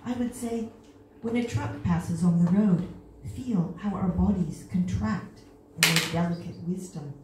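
An older woman reading her own poem at an open mic, wistful and lifting into bright emphasis on the quoted lines. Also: fairly low pitch, a little slow, noisy background. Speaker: poet. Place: small stage in an indoor venue. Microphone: stand mic, filmed from a far camera. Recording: echoey room from far off.

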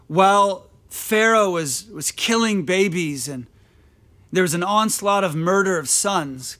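A man in his thirties preaching a sermon on a dark stage, earnest, often repeating phrases as he builds his point. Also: sits high. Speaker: pastor.

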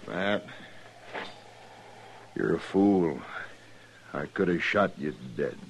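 A weary, cornered outlaw. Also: male voice, deep voice, very slow, highs cut off, full character voice. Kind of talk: 1950s radio drama acting. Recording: hissy 1950s radio recording.

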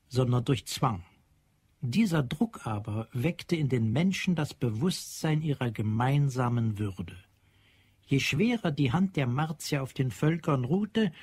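A man narrating a German radio play as literary storyteller, solemn and measured. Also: medium pitch, darkish tone, slightly rough, no room sound.

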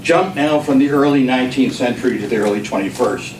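Older man giving testimony in echoey room. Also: mid-range voice. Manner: deliberate, formal. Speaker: member of the public testifying at a hearing. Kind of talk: prepared speech read aloud. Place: government committee hearing room. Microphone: camera across the room. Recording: echoey room, distant mic, faint noise.

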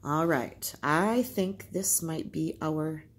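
Slow, husky woman reading a lesson. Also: low voice. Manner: explanatory, simple phrasing. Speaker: teacher reading aloud. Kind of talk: storytime lesson, reading aloud with explanations.

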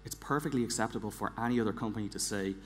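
A man in his forties giving a prepared conference talk on stage in an emphatic, deliberate manner, repeating words for weight. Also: lowish voice, faint music behind. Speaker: conference speaker, engineering director. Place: large theatre stage, indoors. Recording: echoey large hall, clear background hum.

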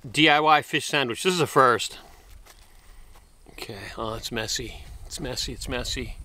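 A man's voice: mid-range voice, normal speed, sharp tone. A man in his fifties, chatting casually to the camera while eating snacks outdoors and reacting with lots of mm sounds and exclamations. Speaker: food vlogger. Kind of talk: casual food review to camera. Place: outdoors in a wooded park, seated at a bench.

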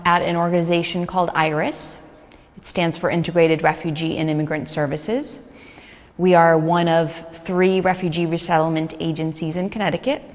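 Chatty woman in a reverberant gallery. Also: lowish voice. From United States. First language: Hungarian. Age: 30s. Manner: earnest, chatty, quick laughs, many fillers. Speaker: refugee services manager. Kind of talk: interview answers. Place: large gallery room, indoors. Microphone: small lapel mic.

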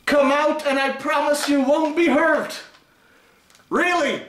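A man playing an earnest police negotiator, pleading loudly in a comic skit in an echoey room. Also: extremely high pitch, fairly even intonation, slow, slight background noise, pitched above the performer's own voice, full character voice. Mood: urgent pleading. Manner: loud, pleading. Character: earnest male police negotiator shouting through a megaphone.

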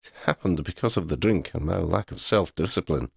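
A man's voice: sits low, brisk, gravelly, slightly rough, no echo, studio quality, exaggerated voice. A man voicing a proud, lordly talking male cat in a storybook, with pompous, formal wording. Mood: sombre confession. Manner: pompous, formal wording with Scottish turns of phrase.

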